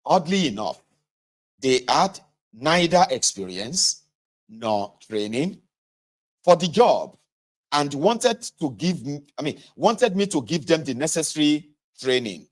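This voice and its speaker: A man reading a memoir aloud in a measured, deliberate way, pausing between short phrases. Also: fairly high pitch, big pitch swings. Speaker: reader of a memoir. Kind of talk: audiobook-style reading aloud of a war memoir.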